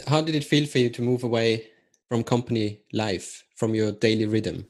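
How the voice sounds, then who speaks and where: A man interviewing a guest at a middle pitch. He asks his questions in a measured, plain way. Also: steady tone, darkish tone.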